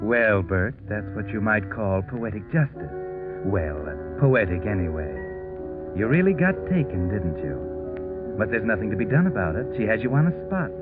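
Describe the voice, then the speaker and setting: Sardonic, low-voiced mystery narrator. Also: male voice, sing-song, normal speed. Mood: wry, taunting. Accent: American. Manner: wry, needling, second-person address. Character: sardonic omniscient narrator taunting the protagonist. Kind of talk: 1940s radio acting. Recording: dull 1940s radio recording. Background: music.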